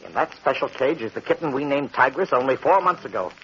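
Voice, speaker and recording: An actor playing an arrogant, obsessive scientist husband in a 1940s American radio horror drama, emphatic, impatient and dismissive. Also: male voice, mid-range voice, animated, fast talker, breathy edge. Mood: proudly excited. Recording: dull, noisy 1940s radio recording.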